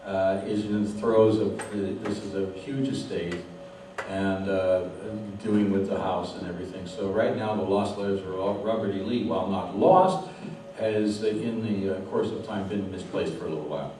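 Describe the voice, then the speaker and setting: A man's voice: low voice, steady tone, moderate pace, dark tone. A man interviewing a guest live onstage and sharing anecdotes. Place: live event room with audience. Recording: echoey hall, distant mic, faint noise.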